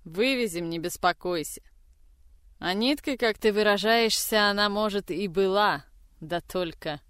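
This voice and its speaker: A woman's voice: medium pitch, unhurried, fairly bright tone, smooth, dry recording, clean studio sound, natural voice. A woman voicing a dull, obedient grown son who answers his mother offhandedly. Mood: offhand.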